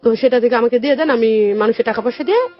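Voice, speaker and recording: A woman's voice: fairly high pitch, racing. Young Bangladeshi woman, dull radio sound with music. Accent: Bangladeshi Bengali. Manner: colloquial, retells conversations as quoted dialogue. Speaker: guest telling life story. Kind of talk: personal storytelling in a radio interview.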